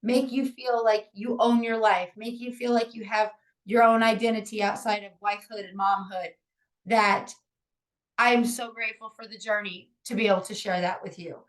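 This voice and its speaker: A woman in her forties with a raspy, hoarse voice, hosting a casual podcast in an upbeat, encouraging way with frequent fillers. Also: a little high, fairly even intonation, normal speed, low-quality recording. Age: 40s. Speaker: podcast host and author.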